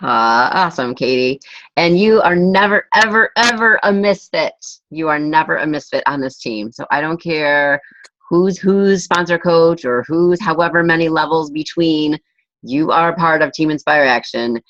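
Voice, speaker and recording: A woman's voice: deep voice, normal speed, a bit bright, no room sound. Emphatic woman leading a video call. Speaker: team leader hosting a video call. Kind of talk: encouraging group call chat. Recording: dull video call audio.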